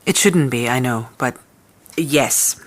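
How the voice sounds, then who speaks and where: An actor playing a defensive professional in a radio drama, hesitant and self-justifying as they give a careful account. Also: male voice, medium pitch, slow pace, close to an everyday voice. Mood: defensive, uneasy. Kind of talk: naturalistic radio drama acting.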